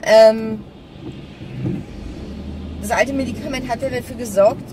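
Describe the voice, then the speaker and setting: A middle-aged woman chats casually to camera while driving, halting and distracted, with grumbling asides. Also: fairly high pitch, fairly even intonation. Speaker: vlogger. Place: inside a small car while driving. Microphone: phone or camera mounted on dashboard. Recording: distant mic, loud car and road noise. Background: car and traffic noise, navigation voice.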